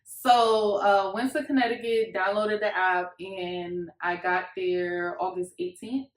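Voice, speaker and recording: A woman in her twenties with an American accent chats informally to camera in a reverberant room. Her pitch sits in the middle, and she tells her story in slangy, everyday language. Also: flat delivery, slow pace. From Miami, Florida, United States. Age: 20s. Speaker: YouTube couple vlogger. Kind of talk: casual chat to camera. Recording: echoey untreated room.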